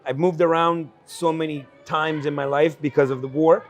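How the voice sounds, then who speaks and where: A man in his forties from El Salvador, a guest speaking earnestly at an investment conference about his life, with frequent fillers and quick laughs. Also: a little high, steady tone, soft background music. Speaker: guest entrepreneur. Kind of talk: candid conversational storytelling.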